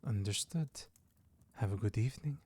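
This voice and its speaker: An actor playing a courteous, formal castle host in an audio roleplay drama, with a polite, measured delivery. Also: male voice, lowish voice, mellow, lightly acted.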